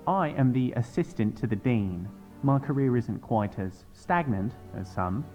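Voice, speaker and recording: A man's voice: medium pitch, expressive, measured pace, darkish tone, soft background music, lightly acted. An actor playing an officious male assistant with a dry, coolly sarcastic way of talking. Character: snippy, officious male assistant to a church dean.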